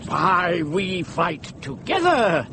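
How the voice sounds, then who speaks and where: A ranting crusader in a drama, scornful and defiant. The voice is fairly high and swings widely as he declaims, with faint noise behind it. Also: big pitch swings, slow pace, gravelly, pushed and bright, heavily acted. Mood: fervent, persuasive. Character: fiery, ranting male crusading pamphleteer, defiant and suspicious. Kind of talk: theatrical dramatic monologue and dialogue.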